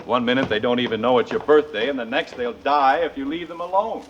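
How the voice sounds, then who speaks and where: A man's voice: mid-range voice, quick pace, close to an everyday voice. An American man playing a wry, put-upon middle-aged father in a vintage radio sitcom, with a dry, sarcastic manner. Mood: resigned. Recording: muffled, hissy old radio recording.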